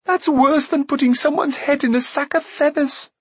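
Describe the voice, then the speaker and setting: Man voicing a high-pitched, indignant young princess. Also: animated, husky, dry recording. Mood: hurt, furious. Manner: rushed, breathless, exclaiming chatter. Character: headstrong, chattering, indignant adventurous young princess.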